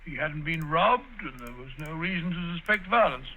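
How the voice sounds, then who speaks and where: A genial, bumbling older doctor in a 1940s radio play. His voice sits fairly high and sounds very bright and sharp. He talks in a chatty, fussy way. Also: expressive, moderate pace, slight background noise, poor audio. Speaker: sidekick in a radio drama.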